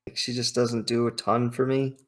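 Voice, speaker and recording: A man's voice: mid-range voice, flat delivery, normal speed. A man hosting a video, thinking aloud in casual, opinionated commentary with emphatic, hyperbolic slang. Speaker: video host ranking game characters.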